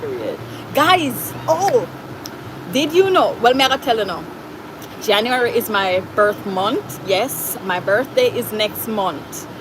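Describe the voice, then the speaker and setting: Jamaican lady chatting on a live, reading comments out loud, steady background hum. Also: on the high side, measured pace. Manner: chatty, reads viewer comments aloud, Jamaican Patois phrases. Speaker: livestream host. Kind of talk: casual livestream chat reading comments.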